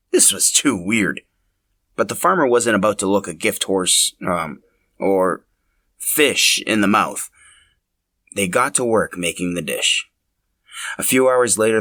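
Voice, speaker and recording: A man reading scripted story narration in an expressive, dramatic storyteller's manner. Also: on the low side, no room sound. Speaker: podcast host telling a story.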